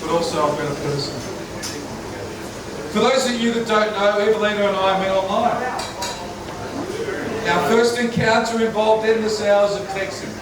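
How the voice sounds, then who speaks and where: Loud groom in an echoey hall. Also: male voice, high-pitched, moderate pace, long pauses, distant mic, background noise. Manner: heartfelt, with a few light jokes. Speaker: groom giving wedding speech. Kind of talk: prepared wedding speech. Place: wedding reception hall.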